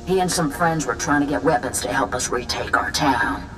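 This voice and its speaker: A woman's voice: very deep voice, normal speed, fairly bright tone, recorded from a distance, slight background noise, rough recording. A woman playing a tough, proud, middle-aged resistance fighter in a video game, blunt and hard-bitten. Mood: resolute, stoic. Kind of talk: video game cutscene dialogue.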